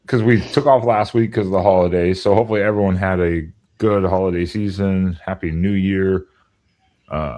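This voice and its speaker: Very deep-voiced male host. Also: a little mellow. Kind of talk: casual chat.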